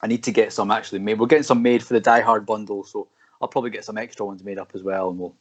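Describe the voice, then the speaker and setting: A man's voice: lowish voice, fairly even intonation, rapid-fire. A man as the guest musician on a video call, laid-back and reassuring, calling the host man and dude. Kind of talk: casual video-call interview. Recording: muffled lo-fi call audio.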